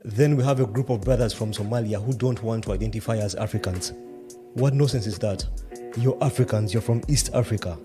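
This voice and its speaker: A man's voice: on the low side, a little fast. A man in a commentary clip, speaking in a scolding, dismissive way. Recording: voice over clear music bed.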